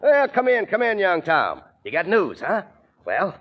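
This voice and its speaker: An actor in an old radio drama playing a nosy, gruff small-town coffin maker. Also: male voice, sits high, huge pitch swings, unhurried, full character voice. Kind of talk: 1940s radio acting. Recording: muffled old radio recording.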